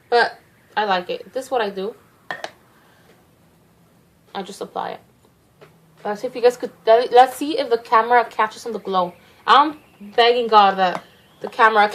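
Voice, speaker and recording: A woman's voice: on the high side, slight background noise, low-quality recording. A young woman from Mexico with a Mexican accent, chatting through a makeup tutorial in a rambling, self-conscious way with many restarts. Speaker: makeup vlogger.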